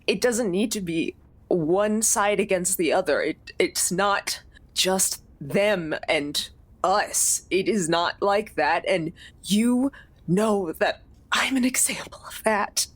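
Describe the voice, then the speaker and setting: A woman playing a shaken, compassionate peacemaker in a tabletop game, husky, halting and pleading. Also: mid-range voice, no echo.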